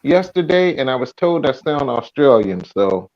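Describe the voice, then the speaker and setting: A man's voice: mid-range voice, very animated, normal speed, darkish tone, highs cut off. A man, hosting a casual live chat stream in an easygoing, folksy way. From Virginia, USA.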